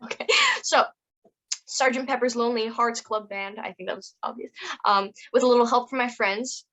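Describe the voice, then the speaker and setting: A woman's voice: on the high side, moderate pace, bright, forceful tone. A woman co-hosting a podcast, chatty and giggly as she asks a guest questions. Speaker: podcast co-host. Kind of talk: casual interview chat. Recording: dull lo-fi audio.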